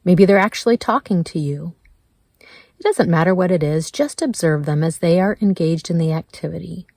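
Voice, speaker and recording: A woman leading a guided meditation in a gentle, measured way, leaving pauses between her prompts. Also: lowish voice, no echo, clean studio sound. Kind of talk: slow guided meditation narration.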